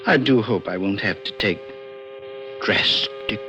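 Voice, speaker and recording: An actor in an old radio drama playing a polite, serious alien visitor who speaks in a measured, precise, mildly condescending way. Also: male voice, on the low side. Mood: gently regretful. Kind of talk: 1940s-50s radio drama acting. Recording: muffled vintage radio recording, faint music.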